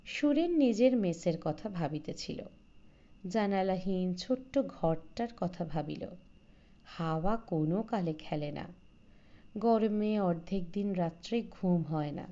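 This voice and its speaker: Slow-paced woman narrating a story. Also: on the low side, animated, dark tone, highs cut off. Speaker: audiobook narrator. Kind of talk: scripted literary story narration.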